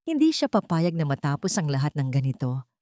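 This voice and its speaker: Female storyteller, lowish voice, very animated delivery, suspenseful and theatrical dramatic narration. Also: a little fast, no echo, clean studio sound.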